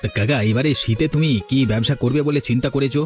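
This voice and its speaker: Man playing a quick-talking village tea seller. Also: mid-range voice, darkish tone, lightly acted. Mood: friendly. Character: easygoing village tea-stall keeper. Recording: music under the voice.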